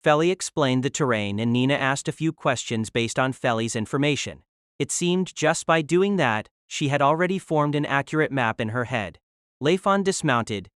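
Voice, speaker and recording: A woman with a low voice narrates a novel in steady storytelling, dry and close to the mic. She also reads the male lead's lines. Her delivery is measured and even. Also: no echo.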